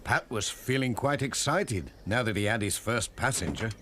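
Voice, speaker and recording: British guy narrating a kids cartoon, really animated delivery, noisy soundtrack. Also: mid-range voice, normal speed. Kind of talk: scripted children's story narration.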